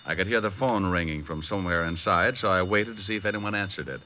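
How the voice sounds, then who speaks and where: An American actor playing a wry, slangy private detective in a 1940s-style radio drama. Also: male voice, low voice, fast, background noise, lightly acted. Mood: hard-boiled narration. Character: hard-boiled wisecracking male private detective. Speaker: lead actor and narrator. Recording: muffled old radio broadcast, music.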